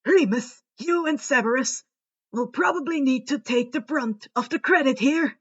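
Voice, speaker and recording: A woman's voice: high voice, normal speed, husky, highs cut off. A woman voicing a kindly, cheerful old male wizard headmaster who gives orders emphatically in a storybook reading. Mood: firm, reassuring.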